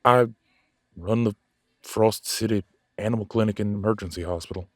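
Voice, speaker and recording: Guy doing cocky werewolf vet for audio drama, deepish voice, laid-back streetwise talk, no room sound. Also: moderate pace, no room sound, studio quality, close to an everyday voice. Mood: smug, amused. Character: cocky, casual male werewolf veterinarian, gruff but soft-hearted. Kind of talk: audio roleplay drama dialogue.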